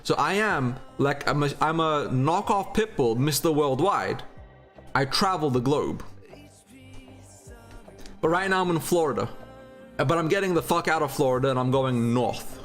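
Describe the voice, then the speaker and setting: A man's voice: on the high side, animated, normal speed, a bit bright, faint music behind. A man with an Australian accent chatting informally as a livestream host, with some swearing. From Turkey and Australia. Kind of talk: casual livestream chat.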